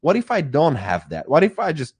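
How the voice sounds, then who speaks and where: A man's voice: a little high, wildly swooping pitch, brisk, darkish tone, breathy edge, dry recording. A man teaching a live online course, talking in a hesitant way with many fillers and restarts. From Hungary. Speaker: AI course instructor.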